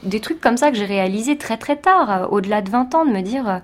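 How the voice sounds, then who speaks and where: A woman's voice: fairly high pitch, expressive, fast. A woman from France, a teacher, giving a reflective personal testimony in an interview, speaking thoughtfully with many hesitations.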